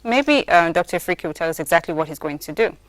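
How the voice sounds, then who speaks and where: A woman hosting a broadcast interview, brisk, with many short affirming interjections. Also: fairly low pitch, expressive, no room sound, rough recording. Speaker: TV talk show host. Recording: dry studio sound.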